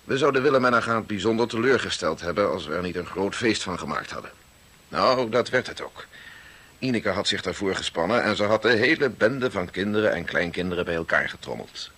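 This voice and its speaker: A man's voice: fairly low pitch, lively intonation, brisk, fairly bright tone, slightly rough, full character voice. An actor playing an ageing family man who reflectively narrates his clan's chronicle in a 1960s radio drama. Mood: wryly reminiscing. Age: older. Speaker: narrator in character.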